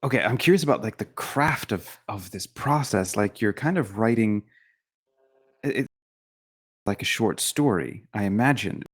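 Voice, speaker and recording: A man hosting a casual interview, thinking aloud as he works his way through curious, halting questions. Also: lowish voice, animated, husky. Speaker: interviewer, host.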